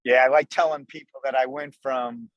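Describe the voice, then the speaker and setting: A man's voice: mid-range voice, steady tone, normal speed, a bit bright, no room sound, dull audio. An American man in his sixties telling stories casually as an interview guest, folksy and conversational. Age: 60s. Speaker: podcast guest, restaurant co-owner.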